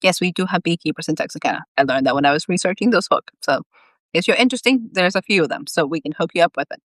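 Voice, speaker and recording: A woman author reading her own fiction aloud in an animated storytelling style, dropping in chatty, informal, self-deprecating asides. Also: fairly low pitch, quick pace, no room sound.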